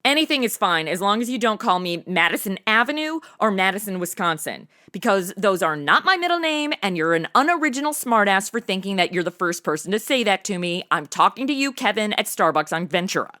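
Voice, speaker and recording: A woman's voice: mid-range voice, sing-song, fairly quick, few pauses, pushed and bright. An American woman hosting a podcast in a chatty interview style, with a sassy, slangy, playful way of talking. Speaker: podcast host. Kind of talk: chatty semi-scripted interview.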